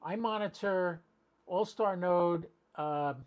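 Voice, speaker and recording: A man's voice: high-pitched, slow pace, clear, resonant tone, muffled recording. A man chatting casually and rambling as he hosts an amateur radio livestream, talking directly to his viewers.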